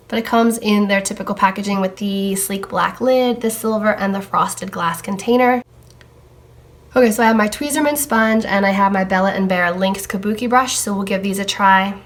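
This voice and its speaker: A young woman's casual makeup review, her voice a little froggy and hoarse as she gets over a cold. She talks easily while she tries out products. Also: medium pitch, fairly even intonation, normal speed, slight background noise. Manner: chatty, talking while applying makeup. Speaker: beauty vlogger.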